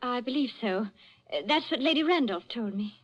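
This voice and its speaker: An actress playing an uneasy, defensive young wife in a vintage radio drama, with a clipped, anxious, melodramatic delivery. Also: female voice, on the high side, animated, normal speed, muffled recording. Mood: uneasy, evasive. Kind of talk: old-time radio drama acting.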